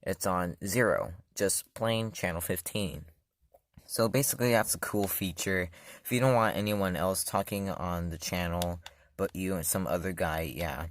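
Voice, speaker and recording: Low-voiced man demoing a gadget. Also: unhurried, no echo, studio quality. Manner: casual, loose, many fillers and self-corrections. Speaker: product reviewer. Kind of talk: casual hands-on demo.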